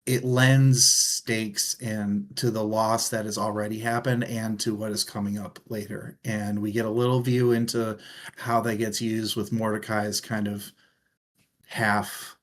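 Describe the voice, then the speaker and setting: A man's voice: lowish voice, flat delivery, measured pace. A man co-hosting a book discussion show, chatting casually and enthusiastically, with the odd swear word. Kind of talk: casual book chat, reading out listener comments.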